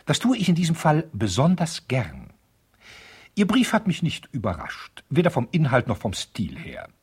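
Radio drama role: pompous, offended older attorney, very raspy, swooping intonation, formal and cutting. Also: male voice, fairly high pitch, wildly swooping pitch, moderate pace, darkish tone, exaggerated voice. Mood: smug, disdainful. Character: pompous, spiteful older lawyer, smugly offended. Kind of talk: radio play, letter read aloud in character.

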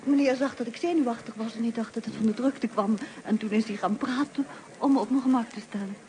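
A woman's voice: on the high side, quick pace, mellow, lightly acted. An actress playing a candid, teasing married woman and former school troublemaker in a radio drama. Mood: composed. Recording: slightly noisy old radio play.

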